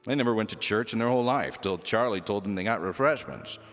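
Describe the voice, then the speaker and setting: A man reading a children's story aloud as lively scripted narration. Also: on the low side, fast talker. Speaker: storybook reader. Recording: echoey hall reverb with music.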